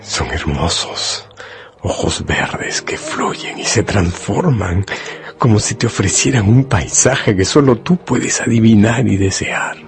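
A solemn male storyteller for a radio drama, with a very low voice. His delivery is measured, intimate and hypnotic, over soft music. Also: expressive. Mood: entranced. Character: solemn, hypnotic male narrator addressing the listener as protagonist. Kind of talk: scripted literary radio narration. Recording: music behind the voice.

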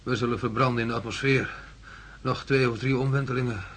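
Husky, dazed male commander in trance. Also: mid-range voice, fairly even intonation, a bit bright. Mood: tormented. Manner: halting, groaning, repeating words. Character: dazed, tormented male space commander under hypnosis. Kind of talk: radio drama acting.

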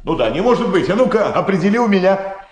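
A man's voice: high-pitched, very animated, quick pace. An actor playing a busy, self-important middle-aged prosecutor and evasive father in a Soviet radio drama, with hesitant, blustering speech. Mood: jovial, assertive.

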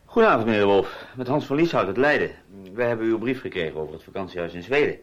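A man's voice: on the low side, lively intonation, quick pace, dull audio, close to an everyday voice. An actor playing a bossy, sarcastic husband who patronizes his wife, in a radio drama with a Netherlands Dutch accent. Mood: polite, hesitant. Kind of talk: Dutch radio play acting.

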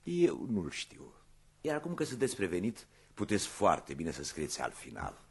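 A man's voice: low-pitched, huge pitch swings, creaky, darkish tone, husky, full character voice. An actor playing a shrewd, methodical police commissioner in a radio play, probing his witnesses with courteous care. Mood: suspicious.

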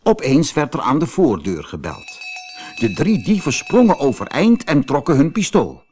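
A man narrating a children's fairy tale audiobook in an expressive storytelling manner. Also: medium pitch, normal speed.

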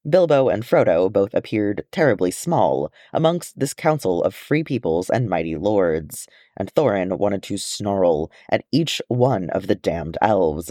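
A man narrates a fantasy story in a fairly low voice that rises and falls freely. The recording is dry and close, and he reads expressively, like an audiobook storyteller. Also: warm tone, no echo. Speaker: amateur audiobook reader.

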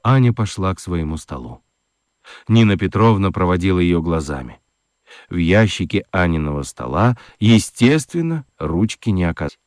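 A man narrating a scripted children's radio story in an expressive, dramatic storytelling manner. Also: deep voice, moderate pace, no room sound, studio quality.

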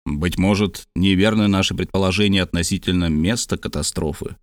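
A man's voice: sits low, a little fast, dry recording, studio quality, pitched below the performer's own voice, close to an everyday voice. A man narrating an audiobook who voices a serious, determined Scottish lord pressing his questions. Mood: earnest.

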